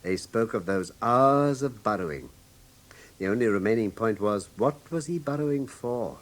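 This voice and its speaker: An actor in a British radio drama playing a coolly analytical gentleman detective with an English accent and a precise, assured manner. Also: on the low side, lively intonation, moderate pace, lightly acted. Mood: precise, animated.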